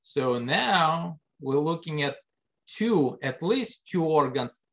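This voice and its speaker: A man giving a biology lecture, talking conversationally and putting rhetorical questions to the class. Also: slow pace. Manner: lively pitch jumps for emphasis. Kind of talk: recorded classroom lecture over slides.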